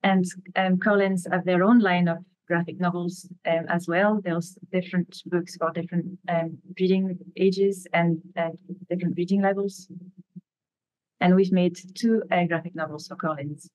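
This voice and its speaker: A woman's voice: on the low side, little pitch movement, normal speed, darkish tone, no echo, low-quality recording. A woman in her fifties with a French accent, a native French speaker, giving an informal webinar presentation. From France. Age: 50s. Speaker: graphic novelist and illustrator, webinar presenter. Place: indoors, on a video call.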